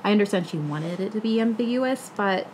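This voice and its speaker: A woman's voice: medium pitch, expressive, brisk, a little mellow. A woman speaking casually as a panelist in a book discussion. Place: living room, seated on a couch. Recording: faint room noise.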